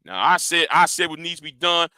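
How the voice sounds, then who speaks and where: A man's voice: a little high, lively intonation, a little fast, pushed and bright, clean tone, no room sound, studio quality. A man ranting in a commentary vlog. He talks confrontationally and swears a lot, repeats and restarts his words, and challenges his audience directly. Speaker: YouTube commentator.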